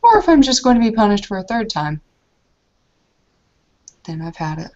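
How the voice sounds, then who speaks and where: A woman's voice: medium pitch, very animated, moderate pace, warm tone, muffled recording. A woman in an in-character tabletop roleplay, speaking tersely and bitterly.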